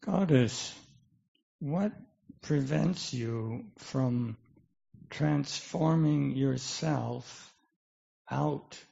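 A man reading the part of an earnest, puzzled Buddhist monk in a scripture drama, with halting, formal phrasing. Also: mid-range voice, vocal fry, mellow, heavily acted. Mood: earnest, questioning. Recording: dull, lo-fi recording.

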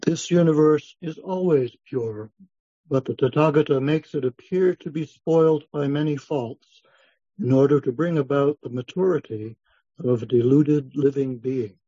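Man reading as a mellow, serene Buddha. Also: a little high, unhurried, no echo. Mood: serene teaching. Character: calm, authoritative Lord Buddha, wise male teacher. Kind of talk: dramatic reading of scripture. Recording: dull, lo-fi sound.

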